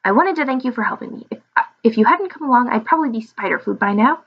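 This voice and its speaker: A woman's voice: on the high side, animated, fast talker, highs cut off. A woman voicing a small, young male dragon who is friendly, eager, curious and chatty in a storybook reading. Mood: grateful, curious.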